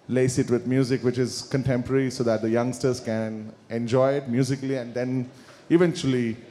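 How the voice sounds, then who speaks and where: A man in his thirties with an Indian English accent speaks as a guest musician, his voice mellow and dark. He talks haltingly, with restarts and fillers. A long reverb trails each phrase in the large space. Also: mid-range voice. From South India. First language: Kannada. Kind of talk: interview answers. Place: large store event space.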